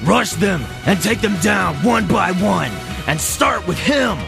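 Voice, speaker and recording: Guy doing cocky enemy captain barking orders, gravelly n slow, loud music under it. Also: high-pitched, expressive, heavily acted. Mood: commanding. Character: aggressive enemy captain, boastful spearman. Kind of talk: fantasy audio drama acting.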